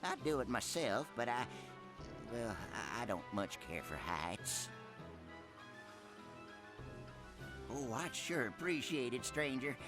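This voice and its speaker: A man's voice: medium pitch, big pitch swings, a little slow, music in the background. A man playing a timid, grumbling small-town mechanic in a video game, with a rural American accent and a folksy, rambling manner. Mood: sheepish. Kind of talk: video game cutscene acting.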